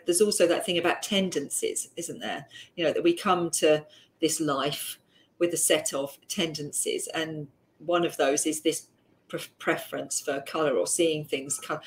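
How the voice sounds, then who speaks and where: A woman hosting a casual chat, musing aloud and laughing easily. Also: on the low side. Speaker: host. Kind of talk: casual video-call chat.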